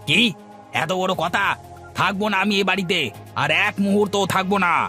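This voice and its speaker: A man's voice: sits high, moderate pace, pushed and bright, soft background music. A man playing a resentful, complaining young younger son in a fairy-tale cartoon. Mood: indignant. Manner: sulky, complaining.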